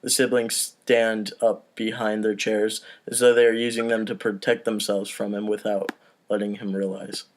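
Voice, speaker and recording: A man's voice: on the low side, level tone, normal speed, a little hiss or hum. A man hosting a casual table read, reading out stage directions and talking between them. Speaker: host and stage-direction reader.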